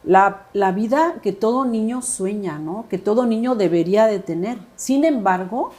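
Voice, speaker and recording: A woman's voice: mid-range voice, lively intonation, moderate pace, darkish tone. A woman hosting a podcast interview. She keeps the guest talking with affirming murmurs and filler words. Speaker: podcast host.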